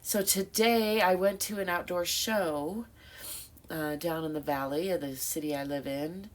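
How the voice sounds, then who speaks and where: A woman's voice: on the low side, measured pace. A middle-aged woman with a stuffed-up nose, talking reflectively to camera in a rambling, thoughtful way, with many fillers and self-corrections. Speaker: vlogger. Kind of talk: reflective casual monologue.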